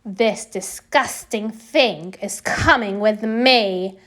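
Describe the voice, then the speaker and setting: A woman's voice: mid-range voice, takes it slowly, fairly bright tone. Woman acting a stern, bellowing storybook headmistress. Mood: menacing. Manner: bellowing, snapping, disdainful. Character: stern, nasty headmistress who hates children and animals. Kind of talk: storybook character voice.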